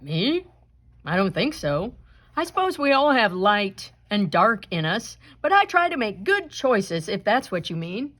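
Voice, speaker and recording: A woman voicing a gentle, misunderstood male wolf in a storybook, mild, thoughtful and measured. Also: medium pitch, sing-song, pitched below the performer's own voice. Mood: mild, reasonable.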